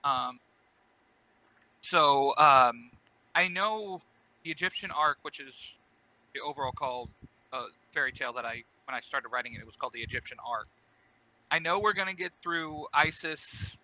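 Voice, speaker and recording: Bright-voiced man, a podcast guest. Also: medium pitch, moderate pace, long pauses, low-quality recording. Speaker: podcast guest, audio drama creator. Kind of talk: casual interview chat.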